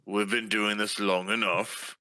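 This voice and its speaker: A man's voice: on the low side, level tone, unhurried, bright, forceful tone, no room sound, rough recording. A man playing a tabletop game, improvising in character with a guarded, blunt, wry manner.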